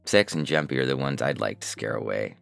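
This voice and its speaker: A man plays a jaded, paranoid hacker telling his own story in a science fiction audiobook. His very deep voice is terse and sardonic, recorded dry and close, with faint music behind. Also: a little fast, gravelly, a bit bright, no room sound, heavily acted. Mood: bitter. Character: weary, paranoid male hacker narrator, sardonic and gloomy. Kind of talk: first-person science fiction audiobook narration.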